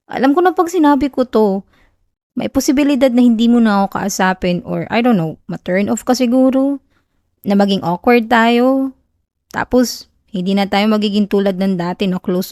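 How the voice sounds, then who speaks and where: Woman acting soft, whiny young Filipino tsundere. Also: on the high side, normal speed, no echo, clean studio sound, close to an everyday voice. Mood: flustered, whiny. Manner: soft, cute, whiny, hesitant with sighs. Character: young college woman, whiny tsundere best friend shyly confessing love. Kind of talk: soft ASMR roleplay acting.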